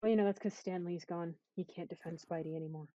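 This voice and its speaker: A woman's voice: lowish voice, fairly even intonation, fast, dark tone, no room sound. Woman podcaster with slightly muffled, dry sound. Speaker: podcast host. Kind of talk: casual podcast discussion.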